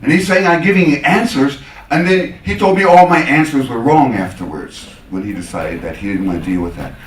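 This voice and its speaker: A man's voice: mid-range voice, brisk. A male lecturer from the United States speaks in an echoey room, picked up from some distance. He answers questions in an anecdotal way, acting out both sides of conversations. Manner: animated anecdotal telling, acting out quoted exchanges. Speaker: academic lecturer taking audience questions. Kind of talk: conference talk with question and answer. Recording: roomy echo, distant microphone.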